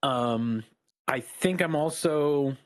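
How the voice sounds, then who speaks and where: A man co-hosting a podcast, chatting casually in a calm, even-tempered voice that is not raspy. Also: medium pitch, slow. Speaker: podcast co-host. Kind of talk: casual chat.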